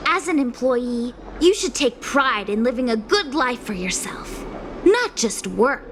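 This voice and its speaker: A persuasive, world-weary young female worker in a video game. Her high voice moves animatedly as she coaxes with rhetorical flair. There is noticeable ambient noise behind her. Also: measured pace. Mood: reassuring. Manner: rhetorical, coaxing, expressive. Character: earnest, persuasive young working woman, world-weary but sympathetic.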